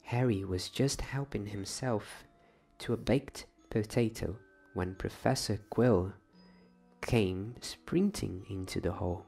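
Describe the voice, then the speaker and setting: A man hosting a soft-spoken ASMR bedtime story reading, hushed and often drifting toward a whisper. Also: on the low side, slow, creaky, soft background music.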